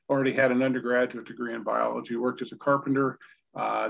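An American man in his sixties from Indiana, telling personal stories as an interview guest in a halting, conversational way over a video call. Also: mid-range voice, vocal fry, fairly bright tone. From Indiana, United States. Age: 60s. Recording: dull, lo-fi video call audio.

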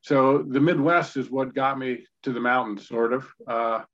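An American man in his sixties from Indiana, telling personal stories as an interview guest in a halting, conversational way over a video call. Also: mid-range voice, fairly even intonation, fairly bright tone. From Indiana, United States. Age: 60s. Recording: dull, lo-fi video call audio.

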